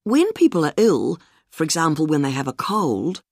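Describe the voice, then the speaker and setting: A woman's voice: sits low, big pitch swings, normal speed, darkish tone, dry recording. A woman telling a short personal anecdote in a scripted, conversational way. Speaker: speaker in course listening. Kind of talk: scripted monologue. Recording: dry studio recording.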